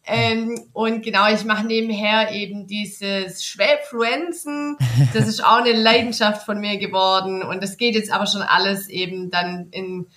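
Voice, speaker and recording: A woman's voice: medium pitch, fairly even intonation, a little slow, a bit bright. A woman in her late twenties with a Swabian accent, a guest chatting on a podcast, bubbly and enthusiastic, her voice stuffy from a cold. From near Nürtingen, Swabia. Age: 20s. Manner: bubbly, enthusiastic, laughs a lot. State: stuffy nose, worn voice. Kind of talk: casual chat.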